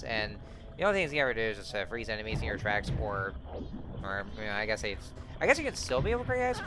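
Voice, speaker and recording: Let's-play host, a man: casual, rambling and chatty, a bit scratchy, with game music playing clearly underneath. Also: medium pitch. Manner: rambling, many fillers and restarts. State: slightly scratchy voice. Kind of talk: casual gameplay commentary.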